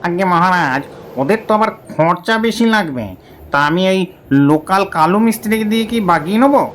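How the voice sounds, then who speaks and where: A woman's voice: fairly low pitch, expressive, a little fast, slight background noise, natural voice. A woman voicing a fussy, deferential male scribe to the god of death in a comic cartoon.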